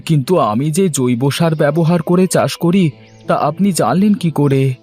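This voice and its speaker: A man acts out a village farmer in a cartoon story. The voice sits a little high, earnest, humble and emotional, with light music underneath. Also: a little slow, darkish tone, breathy edge. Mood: surprised, wary.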